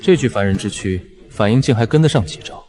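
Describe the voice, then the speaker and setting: Man doing aloof ancient sword master for a comic drama, talks fast, noisy background. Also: lowish voice, lively intonation, lightly acted. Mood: detached, amused. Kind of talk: audio comic drama dialogue.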